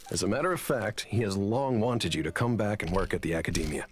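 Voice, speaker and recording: An actor playing an earnest, politely flattering male professor in a video game, formal and persuasive. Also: on the low side, fast talker, soft background music, close to an everyday voice. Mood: insistent.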